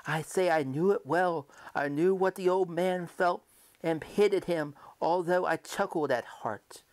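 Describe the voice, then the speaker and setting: A man narrating a gothic horror story in an old-time radio manner, theatrical and deliberate, shifting between hushed and emphatic delivery. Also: a little high. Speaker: narrator reading a short story aloud.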